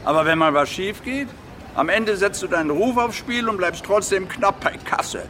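A man's voice: high-pitched, animated, normal speed, sharp tone, slight background noise. An actor playing a sardonic, world-weary male crime writer in a German radio drama, talking in an ironic, musing way. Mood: wry, nostalgic.

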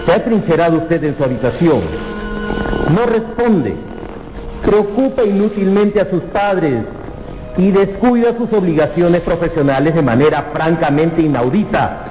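Pompous officious manager, radio drama; animated, indignant delivery, echoey, music underneath. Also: male voice, a little high, unhurried. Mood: stern, demanding. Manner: formal, officious, emphatic. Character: pompous, indignant male company manager scolding an employee. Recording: echoey reverberant room, loud music behind.

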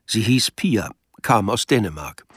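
A man's voice: fairly low pitch, very animated, measured pace, a bit bright, slightly rough. A man reading scripted literary narration as the narrator of a radio drama.

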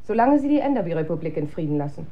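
An actress playing an observant, opinionated wife in naturalistic radio drama, conversational and pointed. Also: female voice, on the low side, sing-song, very fast, dull audio. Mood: sceptical, dismissive.

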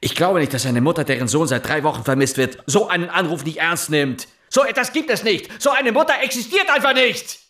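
An actor playing an intense, relentless police inspector in a German radio drama, pressing with insistent, halting questions. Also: male voice, on the high side, expressive, sharp tone. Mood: exasperated, accusing. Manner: insistent, with halting repeated words and pointed questions. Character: male police investigator interrogating, intense, relentless, exasperated.

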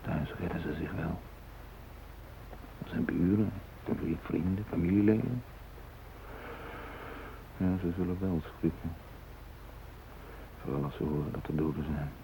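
Worried resourceful captive, bass gravelly voice, muttered fragments, radio drama monologue, faint background noise. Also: male voice, fairly even intonation, a little slow, pauses often. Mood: worried, muttering. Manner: muttering, fragmented, self-steadying. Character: anxious, resourceful male hostage thinking aloud. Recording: faintly noisy old radio recording.

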